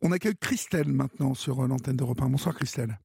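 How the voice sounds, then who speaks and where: A man hosting a late-night radio call-in show, gently prompting his caller with questions. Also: medium pitch, quick pace, gravelly. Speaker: radio host. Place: radio studio.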